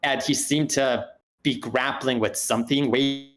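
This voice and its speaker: A man's voice: medium pitch, steady tone, moderate pace, a bit bright. A man giving spoken script feedback in a group discussion, speaking hesitantly and circling back to rephrase his points.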